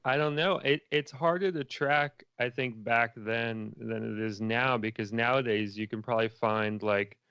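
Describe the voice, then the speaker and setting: Guy answering interview questions casually, clean smooth voice, dry sound, sort of thinks out loud. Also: medium pitch, normal speed, hardly any pauses, no echo. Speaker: interview guest, filmmaker.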